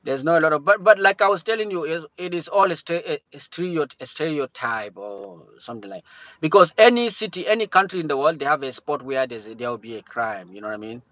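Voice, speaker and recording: A man from Cameroon hosts a relaxed livestream chat, stumbling and restarting his sentences. His voice sits a bit high for a man. The sound is dry and close, with a dull lo-fi tone. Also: no room sound. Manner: halting, many restarts and fillers. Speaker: livestream host. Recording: dull lo-fi stream audio.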